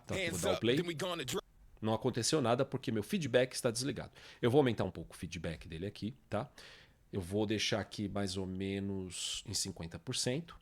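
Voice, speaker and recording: A Brazilian man presents a software tutorial in an informal, explanatory way. He goes step by step at a mid pitch, often punctuating his statements with short checking tags. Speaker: tutorial presenter, music production teacher.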